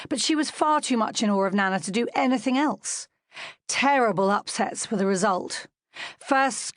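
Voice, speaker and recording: A British woman narrates a storybook as a scripted audiobook. Her voice sits at a middling pitch, and the recording is dry, with very little room around it. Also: no echo.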